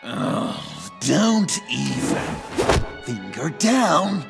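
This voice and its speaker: An actor playing a deranged, theatrical game villain who is mockingly polite, playful and menacing, swerving suddenly in delivery. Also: male voice, medium pitch, very animated, extremely slow, vocal fry, loud music behind the voice, exaggerated voice. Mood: taunting. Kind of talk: video game voice acting.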